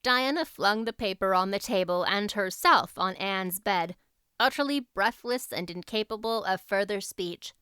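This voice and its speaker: A woman reading a novel aloud as an audiobook narrator, in expressive scripted storybook style. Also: medium pitch, unhurried, clear, resonant tone, no echo, clean studio sound.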